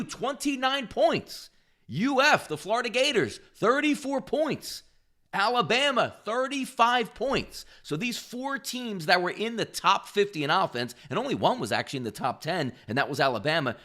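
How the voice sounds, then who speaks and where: Male sports picks host, voice on the higher side, punchy sales-style preview delivery. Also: fairly bright tone. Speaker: sports betting show host. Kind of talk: sports betting preview commentary to camera.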